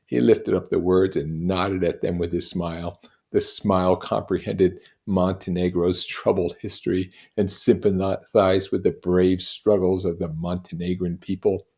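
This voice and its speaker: Low-voiced man reading a novel aloud. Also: little pitch movement, unhurried, warm tone. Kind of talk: expressive literary narration read aloud.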